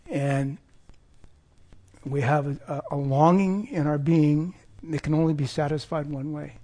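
An older man preaching in a church with a slightly high, hoarse voice. He talks in a rambling, self-deprecating way, full of fillers and restarts, and wears a clip-on mic. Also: steady tone, unhurried, mellow. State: losing his voice. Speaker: lay preacher. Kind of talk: informal sermon with opening prayer.

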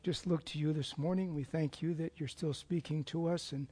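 An older man preaching in a church with a slightly high, hoarse voice. He talks in a rambling, self-deprecating way, full of fillers and restarts, and wears a clip-on mic. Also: fairly even intonation, normal speed, mellow. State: losing his voice. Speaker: lay preacher. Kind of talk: informal sermon with opening prayer.

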